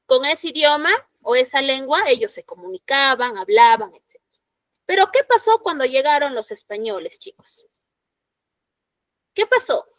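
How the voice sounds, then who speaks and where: Give me a woman teacher with a Peruvian accent and a bright, raised pitch. She lectures in a dry, close sound and keeps checking her students are following. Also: animated, moderate pace, no room sound. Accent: Peruvian Spanish. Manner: explanatory, frequent "¿no?" checks, rhetorical questions to students. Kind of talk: classroom lecture.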